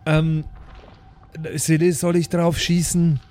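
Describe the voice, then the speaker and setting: A man's voice: fairly high pitch, little pitch movement, takes it slowly, mellow. A man with a Franconian accent, chatting casually as a player in an improvised role-play podcast.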